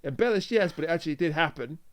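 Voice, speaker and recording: A man's voice: a little high, animated, fast. A man co-hosting a podcast, recapping a story in casual review talk and acting out quoted lines as he goes. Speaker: podcast co-host.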